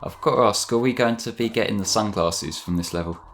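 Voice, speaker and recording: A man's voice: fairly low pitch, brisk, fairly bright tone, a little hiss or hum. A man chatting casually as a co-commentator on a video game, with dry, wry asides. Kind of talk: casual gameplay chat. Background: video game music.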